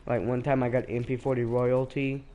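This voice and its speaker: A man's voice: mid-range voice, flat delivery, normal speed, warm tone, slight background noise. A teenage boy with a stuffy, sick voice, rambling through casual commentary while he plays a shooter, full of restarts. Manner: rambling, hesitant, with many restarts. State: sick, congested. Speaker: streamer.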